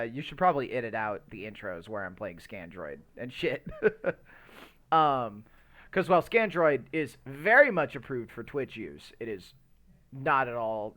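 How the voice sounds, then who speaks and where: A man's voice: medium pitch, big pitch swings, unhurried, fairly bright tone. Male podcast host, mid-range voice, loose and unscripted, rambles and stammers, swears freely. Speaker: podcast host and live streamer. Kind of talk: casual unscripted podcast chat.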